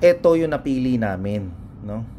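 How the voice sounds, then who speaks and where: A man's voice: mid-range voice, lively intonation, moderate pace, warm tone. A Filipino man presenting a product in a casual, unscripted review, hesitating as he searches for words and mixing Tagalog with English. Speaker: motorcycle vlogger presenting a product. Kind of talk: casual unboxing and review chat. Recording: noticeable background noise and room ambience.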